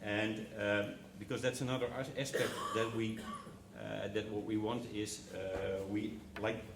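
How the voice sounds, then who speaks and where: A man's voice: fairly low pitch, level tone, a little slow, vocal fry, background noise. Hesitant man speaking in a roomy hall. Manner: hesitant, many fillers. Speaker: broadcasting executive welcoming guests. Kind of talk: formal welcome speech in English. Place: hall at a contract signing ceremony. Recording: roomy, distant hall sound.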